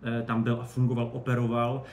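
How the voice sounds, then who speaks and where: A man giving animated, colloquial, digressive explanations as an expert guest in a casual interview. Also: mid-range voice. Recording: roomy echo, faint background noise.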